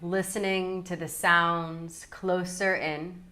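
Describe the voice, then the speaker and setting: Very slow, low-pitched woman guiding meditation. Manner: calm, soothing, unhurried with long pauses. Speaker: guided meditation teacher. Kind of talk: guided yoga nidra meditation.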